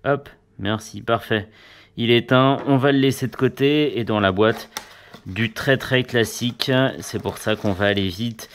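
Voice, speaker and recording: A man's voice: mid-range voice, steady tone, unhurried. A man giving a casual, chatty hands-on product review in an informal way.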